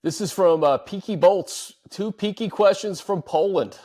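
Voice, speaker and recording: Casting: male sports show host, slightly high voice, informal chat, fielding listener questions. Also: unhurried, mellow. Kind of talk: casual chat, reading out fan questions.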